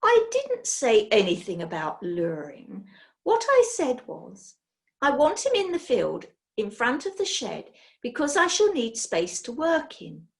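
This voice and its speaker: A severe, bossy witch gives commands in a high and emphatic voice, as a woman puts her on. She is an impatient, self-important storybook figure who expects to be obeyed. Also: wildly swooping pitch, unhurried, heavily acted. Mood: exasperated.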